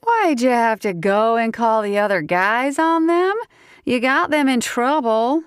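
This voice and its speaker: Female narrator doing a higher-pitched, sassy, tipsy young Southern woman, giggly and folksy; dry close read. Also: lively intonation, a little slow, smooth, no echo.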